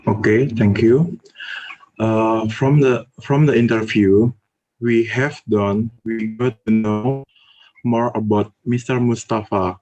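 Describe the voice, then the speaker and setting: A man's voice: fairly low pitch, steady tone, takes it slowly, dark tone, faint music behind. A young man, presenting a class summary hesitantly and restarting phrases. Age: young adult. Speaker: student presenter. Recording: dull lo-fi audio.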